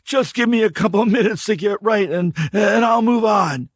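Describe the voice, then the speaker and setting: A man's voice: sits high, normal speed, dry recording, studio quality, voice pushed up. A man voicing a greasy, scared drifter who stammers and whines defensively. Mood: nervous pleading.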